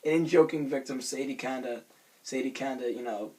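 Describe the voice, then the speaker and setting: Young man, hoarse and raspy, casual vlogger, self-conscious and rambling, mid pitch. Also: a little fast, darkish tone, poor audio. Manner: self-conscious, rambling with many fillers and restarts. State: hoarse from screaming. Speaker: vlogger reviewing a cartoon episode.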